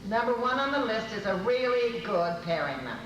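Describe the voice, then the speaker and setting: Echoey, distant fussing, exasperated wife. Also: female voice, fairly high pitch, big pitch swings, normal speed, muffled recording. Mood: exasperated, instructing. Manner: insistent, repeats herself, chiding. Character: exasperated, bossy, fussing wife in domestic comedy. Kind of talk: old-time radio comedy acting, live on stage. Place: convention stage before an audience. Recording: echoey noisy hall, distant microphone.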